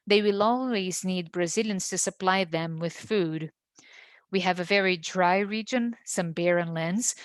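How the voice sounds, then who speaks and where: A woman giving a formal business presentation in a steady, measured way. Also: on the low side, no echo. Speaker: webinar presenter.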